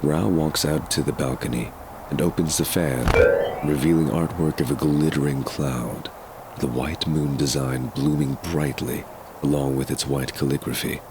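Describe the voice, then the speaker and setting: A man's voice: rumbling low, steady tone, moderate pace, heavy background noise. A man narrating a scripted audio drama in the present tense, as a storyteller. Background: music.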